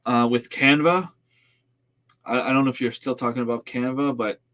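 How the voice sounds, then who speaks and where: A man's voice: mid-range voice, fairly even intonation, moderate pace, a bit bright. A man in his thirties from New Brunswick, a native French speaker with a French Canadian accent, chatting casually with viewers on his livestream in an easygoing, hesitant way. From Northern New Brunswick, Canada. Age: 30s. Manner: easygoing, hesitant, many fillers and restarts. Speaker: farm channel host. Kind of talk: casual livestream chat, answering viewer comments.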